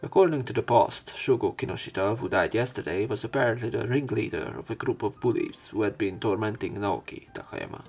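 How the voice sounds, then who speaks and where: A man reading visual novel text aloud as a let's play narrator, breaking off into halting, wry casual asides. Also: fairly low pitch, vocal fry, a little mellow, husky. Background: faint game music.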